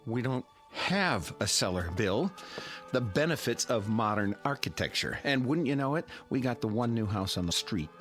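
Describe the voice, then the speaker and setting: A desperate suburban husband, acted by a man in a radio play. His mid-range voice pleads and stumbles over words with big swings in pitch, over faint music. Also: animated, normal speed, fairly bright tone, lightly acted. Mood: worried. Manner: pleading, stammering. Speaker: actor in a radio drama. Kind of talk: dramatic radio acting.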